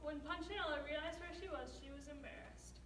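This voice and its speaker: A woman's voice: high voice, a little fast. A female narrator reading scripted lines of a story aloud in a readers theater. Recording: echoey room, distant mic, loud background noise.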